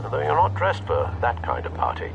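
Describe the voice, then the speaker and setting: A man voicing a loyal, wary elderly butler in a video game, formal, measured and deferential. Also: medium pitch, huge pitch swings, vocal fry, highs cut off, music in the background, heavily acted. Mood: wary, resolved. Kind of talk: video game cutscene dialogue.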